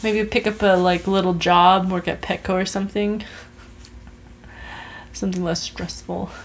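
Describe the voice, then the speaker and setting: A woman's voice: on the low side, level tone, normal speed, a little hiss or hum. A woman in her twenties chatting casually about her resolutions, telling a story with lots of fillers and restarts. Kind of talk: casual chat.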